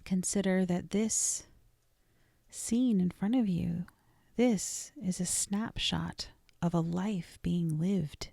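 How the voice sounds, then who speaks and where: Slow, creaky-voiced woman guiding meditation. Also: lowish voice, dry recording, studio quality. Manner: gentle, deliberate, with frequent pauses. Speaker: guided meditation narrator. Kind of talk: guided meditation, scripted and soothing.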